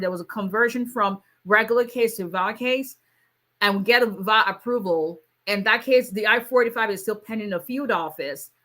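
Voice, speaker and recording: Woman immigration attorney, bright voice, casual live Q&A. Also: mid-range voice. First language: Yoruba. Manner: conversational, many fillers, reads out viewer questions. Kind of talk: live Q&A stream.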